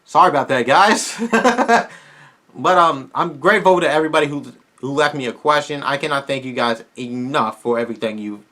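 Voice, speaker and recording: A man with a somewhat high voice talks straight to his viewers, closing out a casual Q&A. He sounds tired and his voice is strained. He rambles in an eager, fan-like way and often restarts his sentences. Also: moderate pace, pushed and bright. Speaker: YouTuber host.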